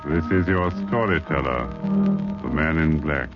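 A man voicing a sombre male storyteller who introduces a 1940s radio thriller with a slow, grave, regretful delivery, from a dull archive recording with music behind. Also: extremely low pitch. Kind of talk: 1940s radio drama announcing.

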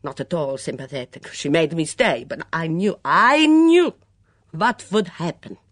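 A suspicious foreign cook , as a reader puts her on, speaks in a thick accent, self-pitying and melodramatic. Her pitch leaps up when she protests. The sound is dry and close. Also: expressive, normal speed, no room sound. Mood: sulky. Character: sullen foreign woman cook, dramatic, suspicious.

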